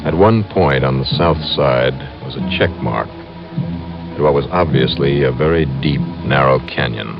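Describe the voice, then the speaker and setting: An actor playing a calm, suspicious investigator with a clipped, measured delivery, narrating in vintage radio detective style. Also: male voice, rumbling low, creaky, exaggerated voice. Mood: brooding, suspicious. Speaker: lead character and narrator. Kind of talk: 1940s-50s radio detective acting. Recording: dull old radio recording, loud music.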